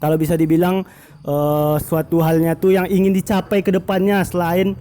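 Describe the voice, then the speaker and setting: A man's voice: high voice, flat delivery, normal speed, warm tone, a little hiss or hum. A male motovlog host interviewing a racing team, chatty and full of fillers, often turning to speak to his viewers. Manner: chatty, frequent fillers, keeps addressing viewers as friends. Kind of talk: casual interview, addressing viewers.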